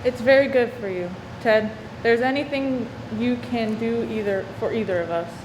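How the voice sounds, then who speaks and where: A woman's voice: fairly high pitch, normal speed, close to an everyday voice. A woman playing a grave, troubled wife in a radio play, curt and withdrawn. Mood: numb. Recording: echoey room with clear background noise.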